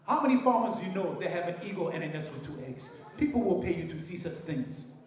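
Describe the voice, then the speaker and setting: A man's voice: sits high, lively intonation, fast talker, darkish tone. A man voicing a clever hunter persuading his brother. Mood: persuasive. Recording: very echoey room.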